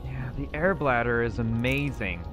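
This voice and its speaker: A man's voice: medium pitch, normal speed, music in the background. A young man roleplaying through a survival game, musing aloud to himself with nervous outbursts. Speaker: gaming YouTuber roleplaying a character. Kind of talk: in-character gameplay commentary.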